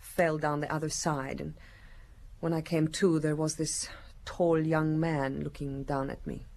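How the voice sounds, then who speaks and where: An actress playing an evasive, cunning female criminal under questioning, halting and defensive, in a radio murder mystery. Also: deep voice, level tone, darkish tone. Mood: subdued confession.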